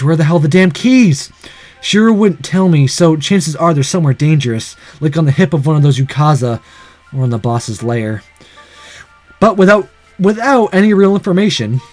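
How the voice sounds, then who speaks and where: A man's voice: a little high, animated, normal speed, a little mellow, faint music behind, close to an everyday voice. A man playing a determined but self-doubting young man on a rescue in a visual novel, tense and earnest. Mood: frustrated.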